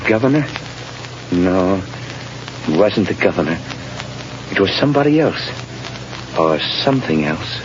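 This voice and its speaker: Slow, swooping, dazed reprieved prisoner. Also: male voice, deep voice, sing-song. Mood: dazed, awed. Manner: halting, awed delivery. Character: dazed male prisoner just reprieved from execution. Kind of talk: 1930s radio drama acting. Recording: dull, noisy vintage radio broadcast.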